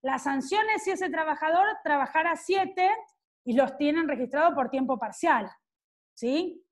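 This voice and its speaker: A woman's voice: high voice, unhurried. A woman in her forties with an Argentine accent, giving an online law class in a chatty way, joking with students by name. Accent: Argentine Spanish. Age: 40s. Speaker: law lecturer.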